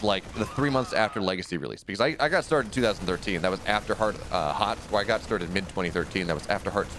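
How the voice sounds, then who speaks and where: A man casting a strategy game live, talking casually with his chat between plays and often restarting his sentences. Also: background noise. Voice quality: deep radio-style voice. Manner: conversational, rambling asides with frequent restarts. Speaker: esports caster.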